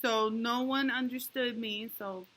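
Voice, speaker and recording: A woman teaching a young class online and checking often that the children follow, speaking slowly and patiently. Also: fairly high pitch, fairly even intonation, heavy background noise. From New York. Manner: patient, encouraging, talks slowly to kids with many "okay?" checks. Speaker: elementary school teacher.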